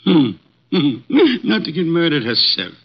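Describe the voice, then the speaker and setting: An actor playing an enormous, lazy genius detective, with a languid, droll and gravelly voice in 1950s radio drama. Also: male voice, a little high, sing-song, slow, full character voice. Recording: dull lo-fi 1950s radio broadcast.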